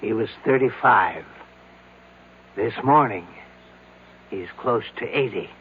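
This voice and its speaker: An actor playing a grave, baffled doctor in a 1970s radio drama, speaking in a measured, ominous way. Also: male voice, lowish voice, animated. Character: grave, baffled doctor warning of a haunted mountain. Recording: muffled noisy old radio broadcast.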